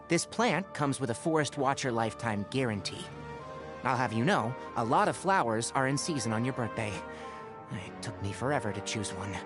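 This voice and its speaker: A man's voice: medium pitch, close to an everyday voice. A man playing a young, scholarly fox-eared forest ranger in a video game, speaking precisely and articulately with dry wit. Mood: kindly, instructive. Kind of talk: scripted game voice lines. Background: background music.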